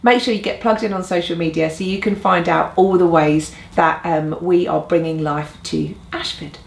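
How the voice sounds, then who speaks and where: A woman's voice: on the low side, steady tone, moderate pace, creaky, a little hiss or hum. A woman presenting church announcements in an upbeat, earnest and encouraging way, with emphatic phrasing. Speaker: church leader presenting a newsletter. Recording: roomy indoor echo.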